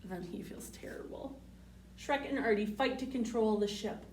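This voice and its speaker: A woman's voice: on the high side, normal speed, a little mellow, slight background noise. A woman reading a storybook aloud to young children as a library storyteller, with a sing-song manner. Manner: sing-song, addressing children with simple questions. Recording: roomy, distant microphone.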